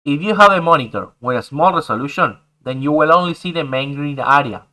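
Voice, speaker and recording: A man's voice: fairly high pitch, fairly quick, bright, forceful tone, rough recording. A young man whose first language is Spanish, patiently explaining a game map editor step by step in English. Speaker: tutorial host. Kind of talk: screen-recorded game tutorial.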